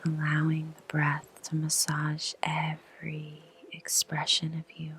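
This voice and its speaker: A woman guiding a meditation in a soft whisper, with a slow, soothing delivery and long pauses. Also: low voice, monotone, slight background noise. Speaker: meditation guide. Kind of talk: guided meditation.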